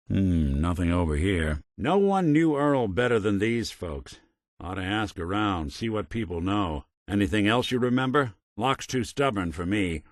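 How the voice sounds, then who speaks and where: A hard-boiled robot private eye from a video game, speaks in a fairly low, very dry-recorded voice. His delivery is wry and world-weary, clipped like a noir gumshoe, yet his pitch moves around a lot. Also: no echo. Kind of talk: scripted game character voice lines.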